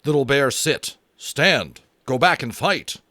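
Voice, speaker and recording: Stubborn wounded chief, voiced by a man, clipped commanding phrases, raised loud voice, lively. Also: mid-range voice, slow pace, dry recording, studio quality, pitched above the performer's own voice, heavily acted. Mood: insistent. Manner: broken clipped speech, commanding. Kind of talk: audiobook character voice.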